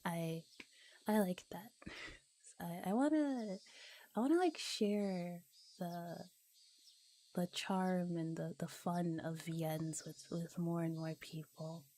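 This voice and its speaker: A woman with a mellow, dark-toned voice chats casually on a relaxed stream. She speaks softly and slips now and then toward a whisper, with a giggly manner. Faint background noise sits behind her. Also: lowish voice, takes it slowly, long pauses. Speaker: streamer reading visual novels aloud. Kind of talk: relaxed casual livestream chat, ASMR.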